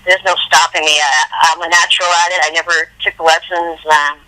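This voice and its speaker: Very bright, forceful-voiced woman. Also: on the low side, level tone, brisk, rough recording. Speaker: guitarist, interview guest. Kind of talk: casual interview chat. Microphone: phone call.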